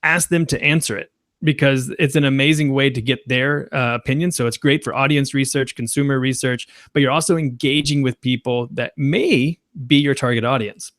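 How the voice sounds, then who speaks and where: A man's voice: medium pitch, fairly even intonation, normal speed, fairly bright tone, dry recording, clean studio sound. Enthusiastic male guest, lots of fillers. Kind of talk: casual explanation.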